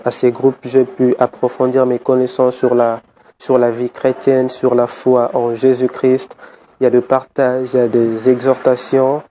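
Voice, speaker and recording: Man, monotone, mellow; earnest, measured voice note. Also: medium pitch, a little hiss or hum. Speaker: group member. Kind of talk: formal voice message.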